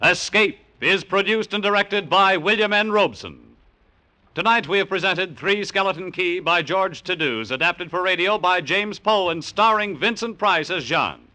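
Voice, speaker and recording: A man's voice: sits high, normal speed, sharp tone. A man with an American accent announcing formally and with polish from a script on a vintage radio broadcast.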